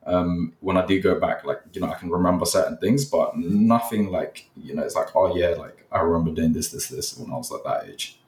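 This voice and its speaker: A young man from Nepal talks casually in a very deep voice, wandering through his answers with plenty of fillers and restarts. He sits back from the microphone in a roomy, echoing space. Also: fairly quick, hardly any pauses, darkish tone, distant mic. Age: young adult. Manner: rambling, many fillers, hesitant. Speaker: guest, content creator. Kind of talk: casual interview chat. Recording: echoey, from off the mic.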